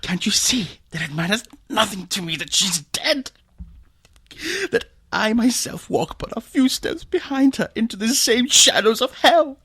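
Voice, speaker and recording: A tormented male aristocrat, the last of a cursed line, in a melodramatic radio play. His husky voice swoops widely between hushed confiding and frantic outbursts, with a bright, forceful edge. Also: high-pitched, animated, measured pace, full character voice. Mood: anguished, raving. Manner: theatrical, feverish, swinging between hushed and frantic. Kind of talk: melodramatic radio drama acting.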